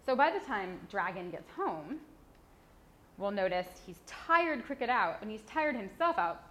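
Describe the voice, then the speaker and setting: A woman giving an informal, expressive and enthusiastic explanation as a book publishing expert presenting a picture book. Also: mid-range voice, a little slow. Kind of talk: informal explanatory talk and storybook reading aloud.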